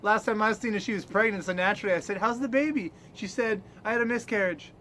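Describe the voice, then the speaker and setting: A man's voice: high-pitched, quick pace, sharp tone, slight background noise. A man trading crude, profane jokes and mock news as a comedy co-host. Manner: profane, irreverent.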